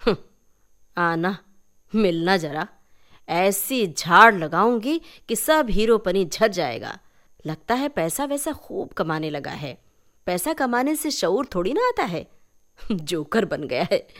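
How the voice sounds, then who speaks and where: Reader as a young city woman in a story, wry and amused, kinda mocking, unhurried. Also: mid-range voice, exaggerated voice. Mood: amused scorn. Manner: expressive, wry.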